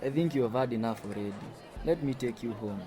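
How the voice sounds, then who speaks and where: A man's voice: mid-range voice, fast talker, warm tone, soft background music, a faint crowd, close to an everyday voice. A man acting a friendly, flirtatious and attentive co-worker in a radio drama. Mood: teasing, playful.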